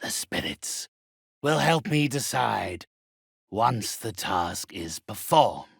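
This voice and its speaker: An actor playing a haughty, theatrical undead necromancer in a video game, speaking with pompous, condescending, ornate phrasing. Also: male voice, lowish voice, big pitch swings, slow, gravelly, husky, no echo, clean studio sound, exaggerated voice. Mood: smooth, calculating. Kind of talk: video game character acting.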